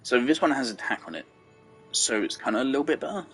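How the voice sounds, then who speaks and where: A man's voice: mid-range voice, expressive, fast talker, husky, soft background music, low-quality recording. A man giving casual gameplay commentary, rambling and thinking aloud as he goes. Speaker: game streamer.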